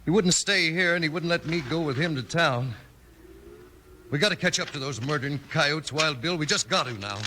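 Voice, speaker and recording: A determined young rancher in a 1950s radio western. The male voice is fairly high, rustic and plainspoken, with a Western accent. The old recording has clear noise and clicks. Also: expressive, moderate pace, sharp tone. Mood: grieving, determined. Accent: American Western.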